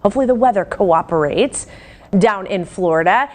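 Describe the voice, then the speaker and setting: A woman's voice: mid-range voice, lively intonation, normal speed, darkish tone. An American woman presenting news and weather as a television anchor.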